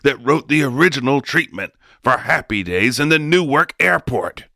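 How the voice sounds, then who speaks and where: A man's voice: mid-range voice, lively intonation, normal speed, vocal fry, sharp tone, no echo. A man acting as a scatterbrained, self-important male studio executive in a comic radio drama, blustering and dismissive. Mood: indignant, self-important.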